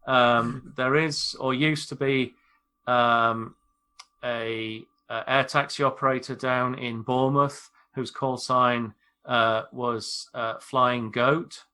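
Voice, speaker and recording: A British man, an airline pilot, explaining things in a measured, informal way on a podcast. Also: mid-range voice, fairly even intonation, pushed and bright, clear, resonant tone. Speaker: airline pilot, expert guest.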